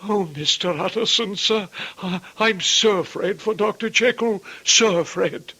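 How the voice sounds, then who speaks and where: An actor playing an anxious, loyal and stubborn household servant in old-time radio drama, with a husky voice and an emotional, deferential manner. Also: male voice, high voice, a little slow, bright, forceful tone, full character voice. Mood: fearful, distressed.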